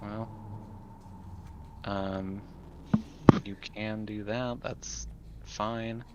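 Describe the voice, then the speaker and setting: A man playing a tabletop roleplaying game, talking casually in a hesitant, halting way. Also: on the low side, level tone, highs cut off, heavy background noise.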